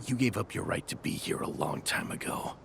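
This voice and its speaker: A man's voice: deep voice, lively intonation, fairly quick, gravelly, a bit bright, exaggerated voice. An actor playing a resentful, hot-headed desert tribesman in a video game, confrontational and accusing. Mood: angry, accusing. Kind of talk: scripted video game acting. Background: music.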